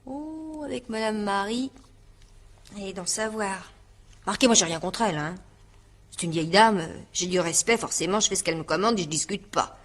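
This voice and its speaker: An actress playing a naive, talkative young housemaid in a radio drama, colloquial, hesitant and then eagerly confiding. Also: female voice, medium pitch, expressive, moderate pace, fairly bright tone. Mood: chatty, wary.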